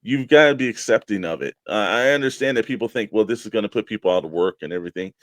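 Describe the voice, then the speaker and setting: A man's voice: fairly low pitch, fast talker, no room sound. A man co-hosting a show, chatting casually and giving opinions in a matey, conversational way. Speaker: co-host of a toy collecting show.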